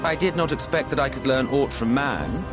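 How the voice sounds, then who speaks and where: An actor playing an ancient, scornful immortal sorcerer in a video game, theatrical and condescending, with a drawn-out sardonic delivery. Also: male voice, medium pitch, expressive, music in the background, lightly acted. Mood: mocking pity. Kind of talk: dramatic video game voice acting.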